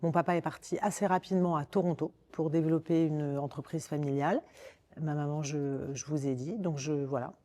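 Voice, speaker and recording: Low, mellow Parisian woman in conversation. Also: steady tone, brisk. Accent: Parisian French. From Paris, France. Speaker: podcast guest, communications director. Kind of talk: conversational interview, recounting own career.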